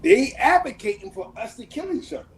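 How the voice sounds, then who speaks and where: Animated New York man talking street slang. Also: very high-pitched, fairly quick, slight background noise. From United States. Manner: slangy, profane, emphatic, repeats check-in questions. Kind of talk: casual, impassioned phone conversation.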